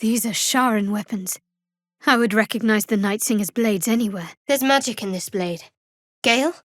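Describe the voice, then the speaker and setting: A woman playing a guarded, wry, devout young cleric of a dark goddess in a video game, speaking in a measured way. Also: high voice, no echo, studio quality, exaggerated voice. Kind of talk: video game character voice lines.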